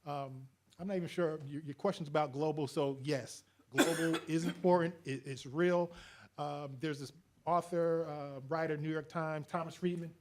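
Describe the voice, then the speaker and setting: A man's voice: fairly high pitch, fairly even intonation, normal speed. A man speaking as a panelist to an audience, earnest, chuckling now and then, with many fillers. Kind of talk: panel discussion.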